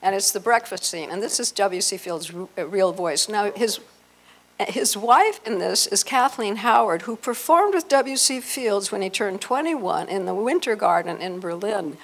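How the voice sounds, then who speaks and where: A woman in her sixties giving an informal, halting talk as presenter, on a handheld microphone. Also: medium pitch, expressive. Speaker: event presenter. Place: hotel meeting room, indoors.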